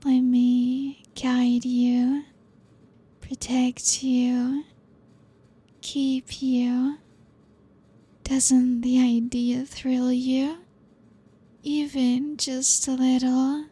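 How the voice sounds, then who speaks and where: A young woman voicing a possessive, ancient female vampire in an ASMR roleplay, breathy and whispery, teasing slowly with soft laughs. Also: high voice, little pitch movement, heavily acted.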